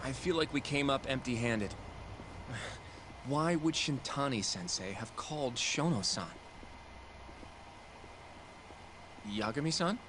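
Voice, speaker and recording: An earnest young male sidekick from a video game, played by a man. He is polite, modest and slightly hesitant, with a medium pitch. Clear background noise runs under the voice. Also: expressive, moderate pace, close to an everyday voice. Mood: curious.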